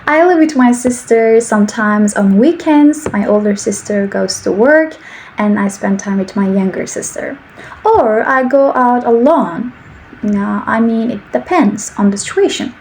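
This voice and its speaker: A woman in her twenties from Turkey with a Turkish accent, speaking English slowly and carefully as a channel host giving speaking practice. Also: a little high, a little mellow, a little hiss or hum, rough recording. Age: 20s. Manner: slow, careful speech with simple sentences. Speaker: English teacher. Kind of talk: slow English practice monologue.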